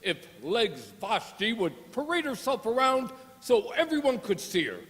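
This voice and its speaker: An actor playing a boastful, bossy 1920s mobster boss in a gangster radio play, full of slang. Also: male voice, very high voice, very animated, unhurried, a bit bright, heavily acted. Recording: hall with long reverb.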